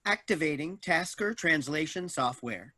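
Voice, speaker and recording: A woman's voice: deep voice, animated, a little slow, pushed and bright, no room sound, studio quality, full character voice. A woman voicing an officious, literal-minded robot assistant in a stilted, formal manner for a staged comedy reading. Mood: mechanical.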